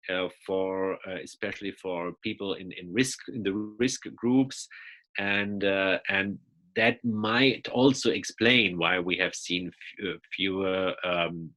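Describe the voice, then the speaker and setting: A man's voice: fairly low pitch, pushed and bright, low-quality recording. A man with a German accent, a guest speaking hesitantly over a video call, with many fillers and restarts. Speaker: interview guest.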